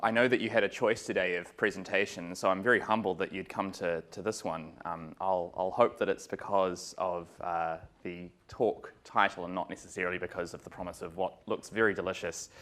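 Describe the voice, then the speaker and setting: Low-voiced New Zealand man lecturing. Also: fairly quick, hardly any pauses. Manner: relaxed, lots of ums and uhs, dry humor. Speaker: law lecturer giving a guest talk. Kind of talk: academic lecture. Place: lecture room.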